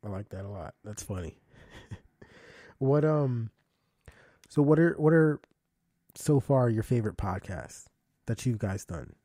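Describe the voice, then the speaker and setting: A man hosting a podcast interview, asking relaxed questions and affirming his guests. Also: mid-range voice, slow pace, pauses often, dry recording. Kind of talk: casual interview.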